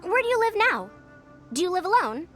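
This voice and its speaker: A woman's voice: very high voice, very animated, fairly quick, fairly bright tone, faint music behind, full character voice. A woman voicing a tiny floating female companion sprite who is blunt, pushy and refers to herself by name, in video game dialogue. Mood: probing.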